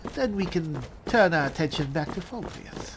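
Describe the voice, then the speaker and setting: A woman's voice: low-pitched, animated, normal speed. A woman roleplaying aloud through a video game, musing in character in a wry, theatrical way. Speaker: roleplaying game player, host. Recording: faint background noise, game ambience.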